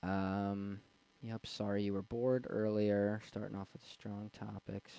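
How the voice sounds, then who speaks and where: A man in his twenties with a young, slightly squeaky voice, casually rambling and answering chat on a livestream. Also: monotone, measured pace, creaky, warm tone, clean tone. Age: 20s. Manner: rambling, with fillers and restarts. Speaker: streamer reading chat. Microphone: desk mic.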